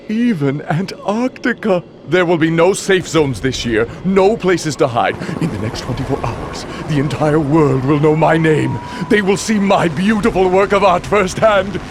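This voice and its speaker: A sinister master of ceremonies from a video game. He narrates with theatrical grandeur and gloating menace, his pitch roaming widely from line to line. The old recording carries clear noise. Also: animated. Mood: menacing, gloating. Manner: grandiose, theatrical, drawn-out delivery. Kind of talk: dramatic villain monologue and narration. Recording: heavily noisy old game audio.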